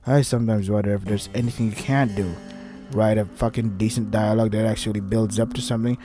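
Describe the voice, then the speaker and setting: A man's voice: fairly low pitch, fairly even intonation, quick pace, music in the background. A man reading a visual novel aloud and commenting on it in an irritated, sarcastic way with heavy swearing. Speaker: let's play host.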